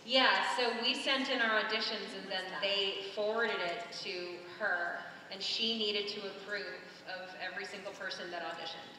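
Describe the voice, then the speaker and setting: A woman's voice: mid-range voice, fairly even intonation, normal speed, hardly any pauses, bright, forceful tone, a little hiss or hum. A woman voice actress moderating a convention panel, upbeat and encouraging. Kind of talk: casual panel talk. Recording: echoey convention hall, far from mic.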